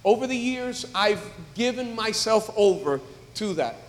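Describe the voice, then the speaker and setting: Pastor guy preaching in church, gets loud and emphatic, lots of echo from the big room. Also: high-pitched, lively intonation, unhurried. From United States. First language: English. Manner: emphatic, rising to loud exhortation. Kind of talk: sermon. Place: church sanctuary. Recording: long reverb of a large hall.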